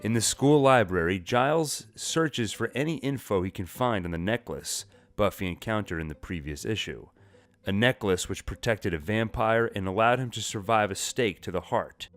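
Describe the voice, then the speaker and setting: A man's voice: on the low side, expressive, normal speed. A man giving a scripted, dramatized narration of a comic story. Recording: music bed behind the voice.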